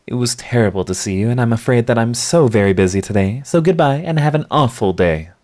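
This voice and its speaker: A man acting a sardonic supervillain secretly devoted to his rival, in an intimate, theatrical audio roleplay monologue with halting confessions. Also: medium pitch, animated, a little mellow, lightly acted. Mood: haughty, dismissive.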